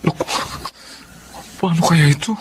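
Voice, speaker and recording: A man's voice: a little high, a little slow, noisy background, close to an everyday voice. A man playing a humble stammering family driver. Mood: worried. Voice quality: deferential, polite, stammering.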